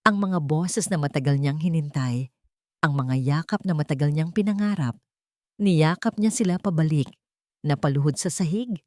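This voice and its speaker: A woman's voice: sits low, normal speed, warm tone, no room sound, clean studio sound. A woman narrating a scripted dramatic audiobook story.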